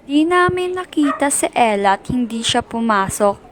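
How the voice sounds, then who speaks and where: A woman's voice: fairly high pitch, lively intonation, moderate pace, slight background noise, natural voice. A woman playing a brusque, snappy schoolgirl in an amateur radio drama. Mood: curt, irritated. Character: brusque, snappy schoolgirl brushing younger kids aside.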